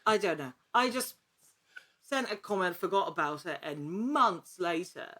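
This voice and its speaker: A woman's voice: fairly low pitch, expressive, a little slow, pushed and bright. A woman speaking as a guest in a casual interview, in a wordy, rambling way that often interrupts itself. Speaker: podcast guest, actor and producer.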